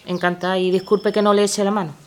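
A woman's voice: medium pitch, level tone, fast, noisy background, natural voice. Woman acting a humble, modest working embroideress. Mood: humble, surprised. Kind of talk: radio drama acting.

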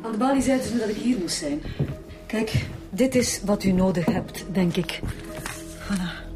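A cooperative, determined woman from a bank acted by a woman in a radio drama, with a Flemish accent. She speaks politely at a middle pitch over clear music. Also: animated, normal speed, natural voice. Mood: earnest. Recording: music behind the voice.

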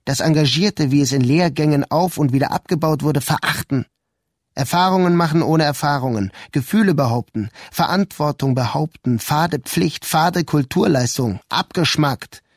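A man narrating a literary radio drama in a measured, sober and deliberate way. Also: fairly high pitch, no echo, clean studio sound. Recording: music clearly behind the voice.

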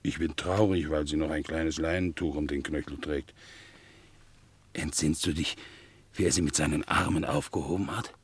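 Devoted bulldog in an old german radio play, deep voice, dry studio, lyrical and dramatic. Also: male voice, fairly even intonation, moderate pace, husky, dry recording, exaggerated voice. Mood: hushed, sad. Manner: lyrical, theatrical, dramatic swells and hushes. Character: devoted, romantic, anxious male bulldog.

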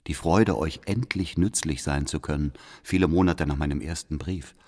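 A sly male informant in a historical radio drama, reciting a reverent letter. The voice is extremely low and formal, each phrase placed with deliberate, insinuating care. Long reverb surrounds it. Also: darkish tone. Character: male secret informant reading his letter, reverent, scheming.

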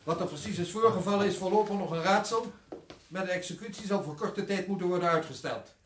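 A man's voice: high-pitched, fast, slight background noise, natural voice. A man acting in a radio drama as a stern prison director with a formal, commanding manner. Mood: formal, grave. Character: stern authoritative male prison director overseeing an execution. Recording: echoey room, distant from the mic.

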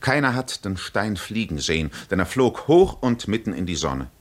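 A man narrating a literary 1950s radio drama in measured, deliberate phrases with pauses. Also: on the low side, sing-song.

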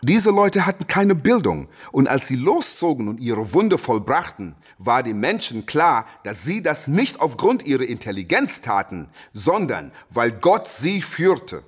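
An actor in a German radio play voicing a proud, fiery young boxer who preaches emphatically with rhetorical repetition. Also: male voice, medium pitch, very animated, measured pace, hardly any pauses, slightly rough. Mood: proud, measured.

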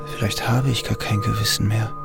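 Brooding, very creaky-voiced ex-convict. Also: male voice, lowish voice, wildly swooping pitch, fairly quick, exaggerated voice. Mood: cold, calculating. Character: brooding, calculating male ex-convict's inner voice. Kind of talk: radio drama interior monologue. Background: music.

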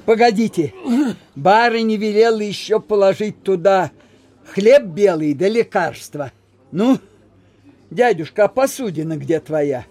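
An actress plays a rustic peasant woman in a Soviet radio play. Her delivery is drawn out and slow, yet insistent and bustling, with homely village turns of speech. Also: medium pitch, darkish tone, natural voice. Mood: busy, coaxing. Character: brisk peasant servant woman, hurrying an old man out. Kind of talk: Soviet radio theatre acting.

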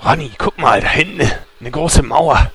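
A man voicing a creepy male killer who is fond of knives and talks calmly and casually. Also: a little high, moderate pace, crowd noise.

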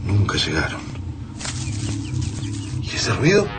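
Radio drama part: earnest Argentine male survivor, wary yet tender, low pitch, music behind. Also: huge pitch swings, slow pace, exaggerated voice.

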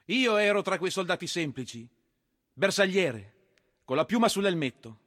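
A man's voice: high voice, very animated, normal speed, a bit bright, no room sound, clean studio sound, heavily acted. A man playing a proud, defiant one-legged soldier in his thirties recounting his own death in a theatrical monologue. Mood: grave, proud. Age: 30s.

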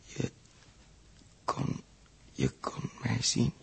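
An actor playing a gravely injured, gentle man who speaks weakly and haltingly in a 1960s radio drama. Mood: weak, consoling. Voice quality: weak, breathy, croaky. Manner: halting, repeats words. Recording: faint noise, old radio recording.

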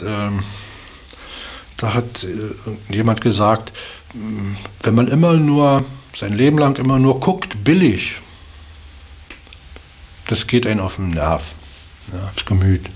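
A man's voice: fairly low pitch, slow pace, pauses often, breathy edge. A man reflecting casually and personally in an interview, searching for words and often adding little tag questions. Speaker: interviewee in a radio feature. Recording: hissy recording with steady background noise.

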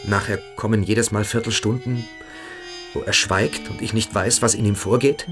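An actor playing a shamed, evasive teenage cadet in a radio play, halting and self-justifying. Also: male voice, on the low side, steady tone, music in the background, lightly acted. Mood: anxious. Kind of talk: German radio play acting.

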